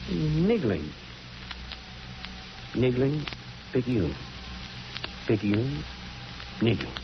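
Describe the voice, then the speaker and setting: An actor playing a sleepy, grumbling, wordy small-town mayor and middle-aged husband in a 1940s radio drama. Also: male voice, medium pitch, very animated, extremely slow, full character voice. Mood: grumbling, dry. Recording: muffled, noisy 1940s radio recording.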